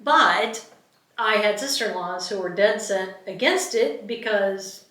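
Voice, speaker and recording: A middle-aged woman talks casually, as a podcast co-host in a conversational discussion. Her voice is bright and forward in tone, with a middling pitch. The recording is echoey, with her sitting back from the microphone. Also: measured pace. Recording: roomy echo, distant from the mic.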